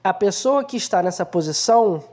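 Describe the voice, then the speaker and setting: A man hosts an unhurried film analysis, speaking in a warm, mellow voice. He explains ideas conversationally and often acts out small everyday examples to make his point. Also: sits high, expressive. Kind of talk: casual explanatory film commentary.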